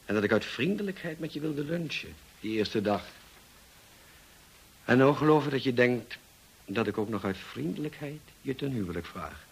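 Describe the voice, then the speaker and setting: An actor playing a brusque, teasing, moody gentleman in a Dutch radio drama, speaking in a dry, commanding way. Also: mid-range voice, animated, normal speed, creaky, husky, exaggerated voice, rough recording. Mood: brusque, insistent. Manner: dry, commanding, clipped questioning.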